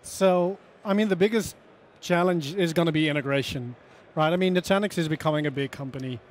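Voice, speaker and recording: A man's voice: fairly high pitch, fairly quick, slight background noise. A man in his thirties explaining fluently as an analyst guest in a live conference interview, often tagging "right?". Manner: fluent, explanatory, tag questions. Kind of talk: live trade-show interview. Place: busy conference hall. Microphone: headset mic.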